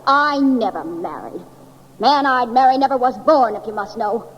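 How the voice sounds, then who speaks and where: An actress playing a prim, lonely, embittered librarian on edge, in 1940s radio drama style. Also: female voice, on the high side, animated, moderate pace. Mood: prim, bitter. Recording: muffled, noisy, echoing 1940s radio recording.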